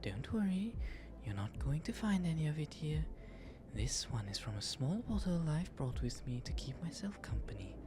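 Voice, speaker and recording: A man playing a genteel, shy, flirtatious owl demon nobleman in an ASMR roleplay, with soft, breathy, formal and wryly self-deprecating speech. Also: fairly high pitch, big pitch swings, normal speed, crowd noise. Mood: courteous, wry. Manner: refined formal diction, polite, wry, self-deprecating. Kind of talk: ASMR roleplay, intimate audio drama. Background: music throughout.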